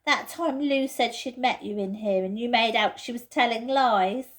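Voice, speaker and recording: A woman's voice: a little high, moderate pace, pitched above the performer's own voice, natural voice. A woman voicing a frank schoolboy, an older brother who takes the lead, with emphatic storybook delivery. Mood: indignant. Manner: emphatic, old-fashioned schoolboy exclamations.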